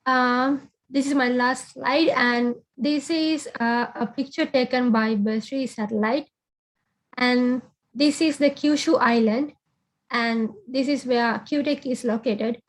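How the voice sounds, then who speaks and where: A young woman giving a slide presentation on a video call, speaking in a relaxed way with frequent "uh" fillers. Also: fairly high pitch, rough recording. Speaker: webinar presenter.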